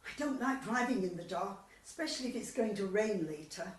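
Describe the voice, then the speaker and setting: A woman's voice: medium pitch, expressive, a little fast, close to an everyday voice. A woman playing a dithery, chatty older English widow in an amateur radio comedy, rambling and apologetic. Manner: rambling, hesitant, wistful. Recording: echoey, distant mic, faint noise.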